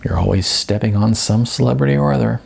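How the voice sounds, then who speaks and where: A man's voice: fairly low pitch, fast talker, gravelly, darkish tone. A man voicing a focused young woman filmmaker in an audiobook, intent and matter-of-fact.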